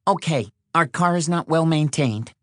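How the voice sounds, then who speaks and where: A man voicing a frank, practical young woman in an audiobook, with a quick, matter-of-fact manner. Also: a little high, a bit bright, dry recording, studio quality, voice pushed up, lightly acted.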